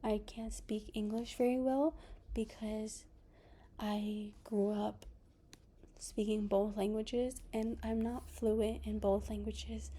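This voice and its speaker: Soft, slow-talking young woman presenting. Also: mid-range voice, level tone. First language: Spanish. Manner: slow and soft-spoken, with pauses and restarts. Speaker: student presenter. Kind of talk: class presentation, partly read, partly personal.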